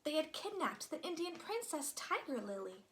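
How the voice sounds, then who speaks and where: A woman reading a children's story aloud as an expressive narrator. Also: sits high, moderate pace, slight background noise. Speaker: storybook reader.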